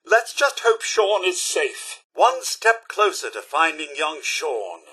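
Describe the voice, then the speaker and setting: A man's voice: a little high, very animated, takes it slowly, sharp tone, slightly rough, heavily acted. A man voicing a prim, fussy, loyal robot butler in a video game, with a British accent and a formal, theatrical, moralizing manner. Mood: measured, earnest. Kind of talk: scripted game dialogue.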